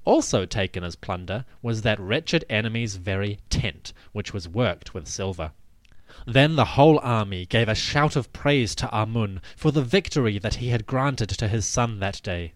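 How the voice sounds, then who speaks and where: A man giving a scripted, dramatic narration of ancient history in a measured, solemn storytelling manner. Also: on the low side, lively intonation, no echo. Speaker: podcast host and narrator.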